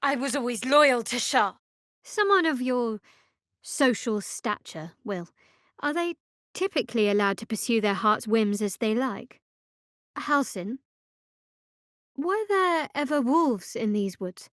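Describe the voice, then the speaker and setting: An actress playing a guarded, wry young cleric of darkness in a video game, speaking in a measured way. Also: female voice, on the high side, lively intonation, a bit bright, no echo, studio quality.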